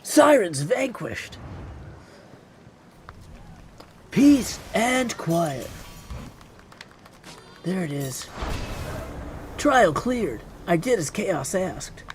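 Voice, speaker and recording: Man acting as young female witch, game heroine, composed, dryly formal, expressive pitch, background music. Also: drawn-out words, long pauses, heavily acted.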